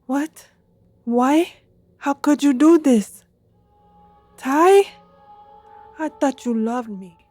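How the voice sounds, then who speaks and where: A woman's voice: drawn-out words, pauses often, darkish tone, no echo, faint music behind. A woman voicing a weakened immortal queen, speaking weakly and in tears in an audio drama. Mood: anguished. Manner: weak, tearful, high-pitched cries.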